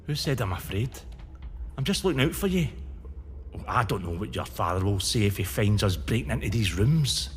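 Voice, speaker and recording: A man's voice: lowish voice, big pitch swings, normal speed. A teasing, cautious boy. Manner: cautious then mocking. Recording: distant, with long reverb and faint hiss.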